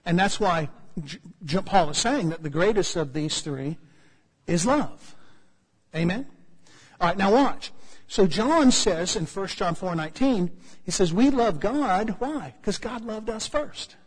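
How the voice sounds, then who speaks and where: A male preacher with an American accent spins a funny personal story from the pulpit. His voice sits high and a little husky. It rings out through a large hall with a long reverb tail. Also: a little slow. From United States. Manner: humorous, animated storytelling with asides. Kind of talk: storytelling sermon.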